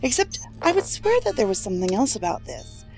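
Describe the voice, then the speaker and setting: A woman's voice: on the high side, sing-song, a little fast, over music, rough recording. An actress playing a thoughtful, astute, serious female investigator in an audio drama.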